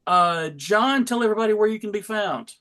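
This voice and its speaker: High-pitched man hosting casually. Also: animated, a little fast, pushed and bright. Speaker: host. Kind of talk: casual panel chat.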